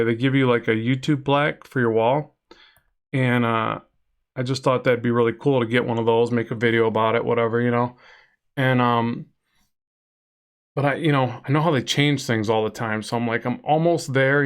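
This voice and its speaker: A man with a nasal voice chatting casually with viewers while drawing on a live stream, rambling and reading chat aloud. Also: medium pitch. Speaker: comic artist streaming a drawing session.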